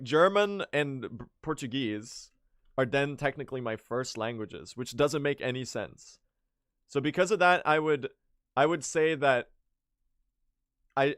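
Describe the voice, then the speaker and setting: A man's voice: mid-range voice, a bit bright, no echo. A man from Sweden, a native Swedish speaker, chatting casually with his viewers while he plays, rambling and correcting himself as he goes. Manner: rambling, self-correcting, chatty, mild swearing. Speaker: speedrunning streamer. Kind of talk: casual livestream chat while playing.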